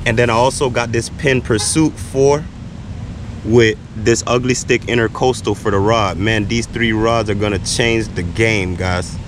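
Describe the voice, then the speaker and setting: A man giving excited, chatty running commentary to viewers while fishing from a sea pier, talking into a body-worn camera. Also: fairly low pitch, unhurried. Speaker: fishing vlogger. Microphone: body-worn action camera. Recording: loud wind noise on an outdoor camera mic. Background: wind and traffic noise.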